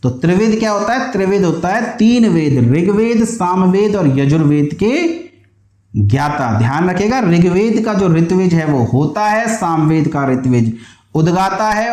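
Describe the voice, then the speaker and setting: A man with a high-pitched voice teaches an exam-preparation lesson in an echoey room. He explains point by point, posing questions and answering them himself. Key terms get repeated so that students can memorise them. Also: a little mellow. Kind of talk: online history lesson with question and answer drilling.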